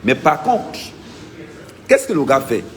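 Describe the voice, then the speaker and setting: A man's voice: a little high, huge pitch swings, slow. A man, an activist vlogger giving an insistent, emphatic first-person account and repeating his key points.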